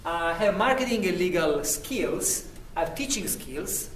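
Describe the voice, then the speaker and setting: A man's voice: sits high, expressive, measured pace, fairly bright tone, slight background noise. A man from Italy with a thick Italian accent, presenting a sample lecture in an earnest, self-promoting way with frequent restarts. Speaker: lecturer applicant. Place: university room. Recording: echoey room, mic at a distance.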